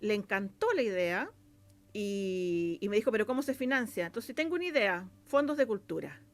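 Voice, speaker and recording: A woman's voice: mid-range voice, lively intonation, normal speed. A woman with a Chilean accent speaking as a guest in a casual interview, chatty and rambling with plenty of filler phrases. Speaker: interview guest, radio drama scriptwriter.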